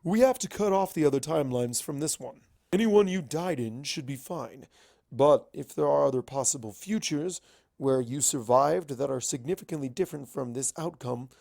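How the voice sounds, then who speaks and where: A blunt old wizard pony in a storybook reading, acted out by the narrator. He lectures and scoffs emphatically, with gravelly creak in a middling pitch. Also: normal speed, warm tone, no room sound, clean studio sound. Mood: explaining. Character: Starswirl, old male wizard pony, gruff, cynical, blunt.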